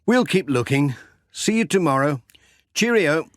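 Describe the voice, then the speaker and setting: Man playing a kindly, lively village postman. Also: fairly high pitch, unhurried, no echo, studio quality, voice pushed up. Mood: cheerful. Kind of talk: children's storybook character dialogue.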